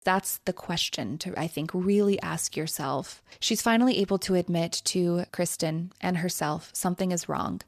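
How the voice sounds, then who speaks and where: A woman's voice: lowish voice, moderate pace, gravelly, few pauses, dry recording, studio quality. A woman hosting a podcast, retelling a memoir in casual chat and quoting its passages aloud. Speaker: podcast host. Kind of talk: casual book discussion.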